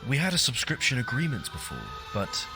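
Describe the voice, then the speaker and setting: An actor playing a learned, polite talking apple in a video game, with a refined, scholarly manner. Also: male voice, mid-range voice, huge pitch swings, a little fast. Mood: curious, analytical. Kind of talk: scripted game voice acting. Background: background music.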